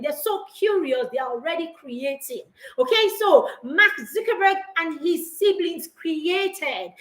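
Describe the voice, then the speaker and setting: A woman's voice: high-pitched, echoey room, rough recording. A middle-aged woman giving parents a talk, emphatic, repeating her key lines and asking them questions. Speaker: parenting mentor.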